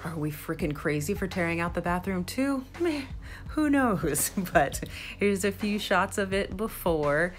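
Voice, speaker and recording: A young woman with a low voice talks through her project in a casual vlog voice-over. She sounds bubbly and easily excited. Soft music plays faintly behind her. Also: unhurried. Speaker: vlogger.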